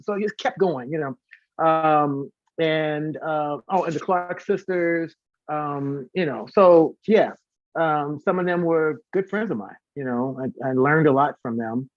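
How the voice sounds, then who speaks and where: An American man, an interview guest and singer, telling casual anecdotes in an animated way and acting out the dialogue. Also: a little high, a little slow. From St. Louis, United States. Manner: animated, acts out quoted dialogue. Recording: echoey, dull lo-fi audio.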